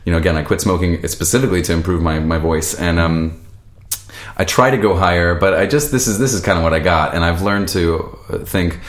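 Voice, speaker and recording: A young man, a singer, talking loudly and easily in a casual interview, laughing often. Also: fairly quick. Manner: loud, booming and laughs easily. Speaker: singer guest. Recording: echoey room.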